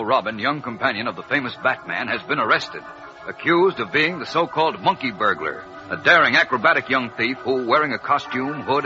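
Dramatic old-time radio serial narrator, man. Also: medium pitch. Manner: dramatic, formal storytelling delivery. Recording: dull old radio recording with music.

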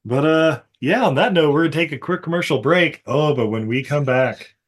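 Man, podcast host, slightly high voice, loud, playful, laughing, casual movie chat. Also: expressive, normal speed.

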